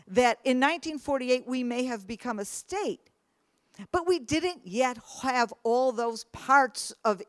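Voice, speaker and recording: Middle-aged lady telling her own story, earnest and emphatic, kinda high voice, dry close sound. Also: dry recording. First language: English. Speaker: speaker. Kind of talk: personal testimony talk.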